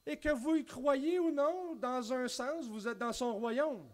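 A man in his thirties with a Québécois accent, preaching a sermon from a church pulpit with animated gestures and frequent restarts. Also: very high voice, slow. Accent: Québécois French. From Quebec. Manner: animated, gesturing widely, many restarts and spoken asides. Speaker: pastor. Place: church stage at a wooden pulpit, indoors.

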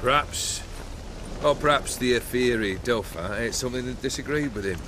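A man's voice: mid-range voice, normal speed, background noise, lightly acted. An actor playing a haughty, cynical middle-aged nobleman bandit leader in a fantasy game, theatrical and sardonic in formal, archaic phrasing. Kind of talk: fantasy video game cutscene acting.

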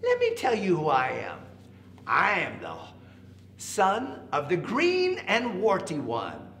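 A man voicing a small plucky frog in a children's story, croaky and drawn-out, with sly confidence. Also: sits high, huge pitch swings, a bit bright, voice pushed up, heavily acted. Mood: sly, confident. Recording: echoey room, faint background noise.

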